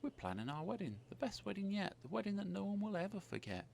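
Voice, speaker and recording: A woman's voice: medium pitch, very animated, fast, gravelly, a little mellow, voice pushed up. A man voicing a sweet, sensible female scarecrow bride in a storybook, earnest and easily indignant, with faint noise behind. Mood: firm, indignant.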